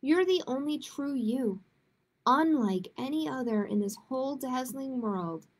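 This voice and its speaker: A woman hosting a children's storytime, reading picture books aloud and chatting to families in an expressive way. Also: high voice, measured pace. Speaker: children's librarian, storytime host. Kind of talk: picture book read-aloud and chatty announcements to young families.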